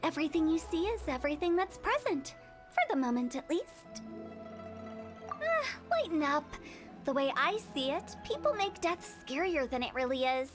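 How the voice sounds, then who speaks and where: A woman's voice: high voice, sing-song, normal speed. A woman voicing a mischievous, eccentric teenage funeral director in a video game, with a playful, theatrical manner. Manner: playful, theatrical, breezy about death. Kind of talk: English game voice acting. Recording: game music playing behind.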